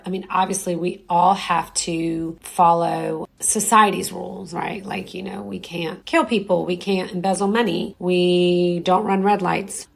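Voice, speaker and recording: Hoarse middle-aged woman hosting a podcast. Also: on the low side. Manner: reflective, conversational, many fillers. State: hoarse, strained voice. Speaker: podcast host. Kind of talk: solo podcast talk.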